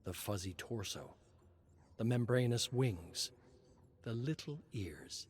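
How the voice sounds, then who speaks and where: A man reading a scripted dramatic story as narrator in a measured, suspenseful manner. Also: medium pitch, lively intonation, a little mellow.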